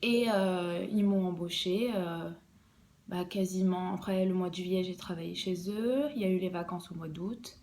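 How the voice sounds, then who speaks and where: A woman's voice: fairly low pitch, normal speed, a little mellow, a little hiss or hum. A young woman, an embroidery designer, explaining her work in a casual podcast interview, hesitant and full of fillers and restarts.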